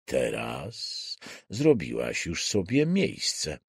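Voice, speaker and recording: A man voicing a calm killer in an audiobook thriller, stern, coaxing and coldly patronizing. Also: a bass voice, creaky, breathy edge, dry recording, studio quality, voice pushed down, exaggerated voice. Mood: mocking calm. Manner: slow, stern, softly coaxing.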